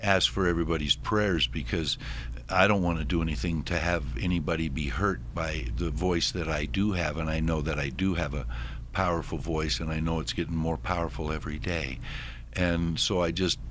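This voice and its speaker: A man speaking earnestly as a radio interview guest, halting often and restarting his sentences. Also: very deep voice, fairly even intonation, fairly bright tone, a little hiss or hum. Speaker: guest, medical cannabis advocate.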